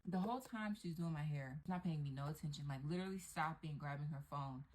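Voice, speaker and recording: A woman's voice: sits low, fast, darkish tone. A woman giving a casual video review as a customer, displeased and emphatic but matter-of-fact.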